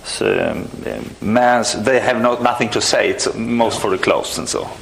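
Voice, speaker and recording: A man's voice: lowish voice, big pitch swings, unhurried, vocal fry, a bit bright, rough recording. A middle-aged man from Sweden with a Swedish accent, a church musician speaking hesitant non-native English in an interview, often restarting and searching for words. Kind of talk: conversational interview.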